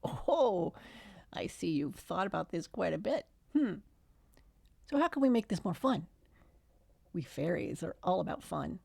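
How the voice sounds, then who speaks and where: A woman's voice: fairly low pitch, sing-song, measured pace, gravelly, long pauses, darkish tone, husky, no echo, full character voice. A woman voicing an impish, cheerful male fairy with a beard in a storybook reading. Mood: playful.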